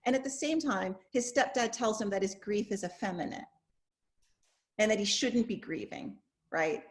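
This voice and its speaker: A woman's voice: mid-range voice, lively intonation, normal speed. A woman, a professor, moderating an informal discussion over a video call. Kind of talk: informal online class discussion.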